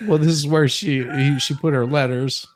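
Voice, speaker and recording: A man's voice: a little high, fairly even intonation, normal speed. A man co-hosting a comedy show, giving casual commentary and reading aloud in an informal, hesitant, joking way. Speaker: co-host.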